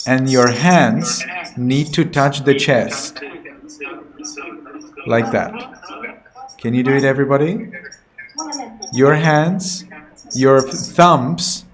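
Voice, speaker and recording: A man leads an online class for children, speaking slowly and deliberately. His pitch sits in the middle range, and each instruction comes out patient and clear over the video call. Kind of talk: calling roll on a video call.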